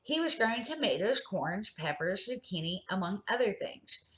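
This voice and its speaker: A woman's voice: mid-range voice, very animated, normal speed, a bit bright, slight background noise. An older woman, a grandmother, narrating a children's storybook aloud in a plain, amateur reading style.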